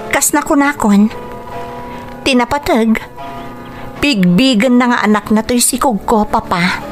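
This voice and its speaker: A woman plays an earnest young Filipino daughter in a radio drama. Her voice sits a little high, respectful and confessional, in an Ilocano accent, with music underneath. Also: a little slow, lightly acted. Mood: earnest, resolute. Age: young adult. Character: earnest, confessing grown daughter, pregnant professional.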